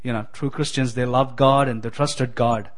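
Guy from giving a sermon, lots of ums and restarts, middle pitch, friendly. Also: steady tone, normal speed. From Kerala, India. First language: Malayalam. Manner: hesitant, many fillers and restarts, friendly. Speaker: guest preacher.

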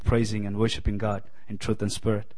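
Keralan man preaching with many pauses. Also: fairly low pitch, completely flat delivery, dark tone. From Kerala, India. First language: Malayalam. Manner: hesitant, many fillers and restarts, friendly. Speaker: guest preacher. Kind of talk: sermon.

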